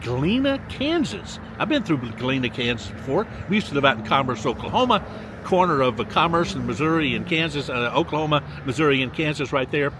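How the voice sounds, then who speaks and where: A man's voice: a little high, expressive, noisy background. Folksy older man reading place names. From Mississippi. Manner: drawn-out, sing-song reading of place names with folksy asides. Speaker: vlogger reading viewer locations. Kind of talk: casual viewer shout-outs. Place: outdoors by a lakefront.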